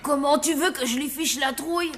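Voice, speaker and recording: A woman's voice: high-pitched, fairly quick, soft background music. A woman voicing an awkward, earnest zombie schoolboy in a children's cartoon. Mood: exasperated. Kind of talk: French children's cartoon acting.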